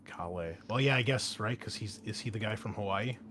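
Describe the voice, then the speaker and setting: Male game master and host, casual chatty delivery, fairly low voice, noticeably noisy recording. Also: a little fast, a bit bright. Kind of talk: casual chat introducing a tabletop game session. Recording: clear background noise.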